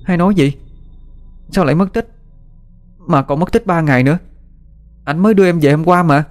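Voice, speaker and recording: A man voicing a sick, frightened young girl in a Southern Vietnamese accent, halting and pleading in an audio story. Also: on the high side, faint music behind, voice pushed up, lightly acted. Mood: shocked, disbelieving. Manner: halting, pleading, repeats words. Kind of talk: dramatised audio story narration.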